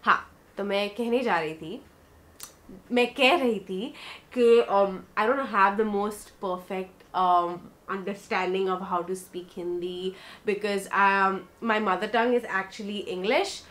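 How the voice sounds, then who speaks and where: A woman's voice: medium pitch, a little fast. Chatty South Indian woman vlogger. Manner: chatty and warm, mixing English with Hindi. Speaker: beauty vlogger. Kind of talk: casual makeup tutorial. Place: bedroom, indoors.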